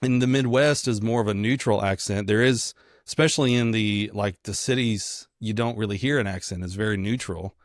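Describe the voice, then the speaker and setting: A man's voice: fairly low pitch, fairly quick, dry recording, clean studio sound. A man from Kentucky with a mild Southern American accent, chatting casually as a podcast co-host in a rambling, joking, self-deprecating way. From Kentucky, United States.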